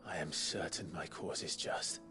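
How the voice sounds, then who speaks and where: A man playing an earnest, resolute young nobleman in a video game, speaking with solemn, measured determination. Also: rumbling low, flat delivery, over music. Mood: solemn resolve. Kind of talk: dramatic video game acting.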